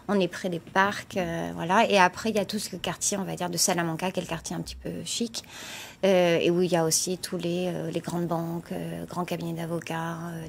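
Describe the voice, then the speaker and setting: A woman answering interview questions in a measured way, with fillers. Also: lowish voice, creaky, slight background noise.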